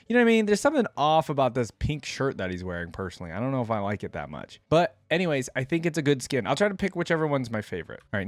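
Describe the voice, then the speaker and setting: A man's voice: medium pitch, dry recording. A man hosting a reaction video, giving casual, conversational commentary as he thinks aloud. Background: background music.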